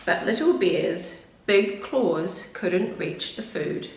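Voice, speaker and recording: Slow, lively woman reading a storybook. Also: fairly low pitch, vocal fry, recorded from a distance. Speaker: preschool story-time reader. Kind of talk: expressive children's storybook narration. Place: indoors, small plain room. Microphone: camera a short distance away. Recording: roomy, faint background noise.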